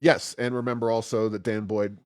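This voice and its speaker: A man co-hosting a talk show, giving conversational political commentary with wry, sarcastic asides and a halting, self-correcting delivery. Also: on the low side, little pitch movement, darkish tone, no echo, studio quality. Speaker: co-host of a policy podcast.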